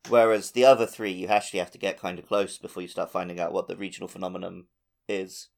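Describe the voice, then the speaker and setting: A man's voice: sits low, level tone, fast talker, gravelly. A man co-hosting a gaming podcast, talking in a casual, conversational way. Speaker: podcast co-host.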